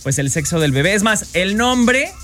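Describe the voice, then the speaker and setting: A man in his forties with a Mexican Spanish accent, hosting a radio gossip show in a chatty, upbeat way with quick asides. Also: high voice, sing-song, sharp tone, faint music behind. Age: 40s. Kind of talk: casual gossip radio chat.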